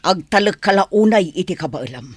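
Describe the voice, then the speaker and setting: Radio drama role: stubborn, fretful middle-aged wife, animated intonation, close dry sound. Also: female voice, lowish voice, normal speed, husky, no echo. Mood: firm, resentful. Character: worried, stubborn mother opposing her husband's political ambition.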